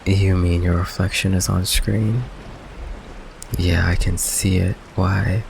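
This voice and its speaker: A man playing an affectionate but evasive goth vampire boyfriend in an ASMR roleplay, talking haltingly with nervous stammers. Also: low-pitched, flat delivery, gravelly, noisy background, heavily acted. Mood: defensive, flustered. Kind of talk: ASMR roleplay, intimate one-sided conversation. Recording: rain ambience behind close voice.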